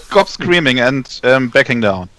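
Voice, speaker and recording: A man with a medium-pitched voice plays a tabletop game. He casually describes his character's actions, often restating a phrase as he goes. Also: lively intonation, a little slow, fairly bright tone.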